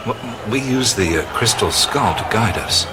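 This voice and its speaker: An actor playing a laid-back American adventurer in an audio drama, hesitant and understated with a wry manner. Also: male voice, lowish voice, expressive, normal speed, background noise, natural voice. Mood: calm, reflective. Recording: music under the voice.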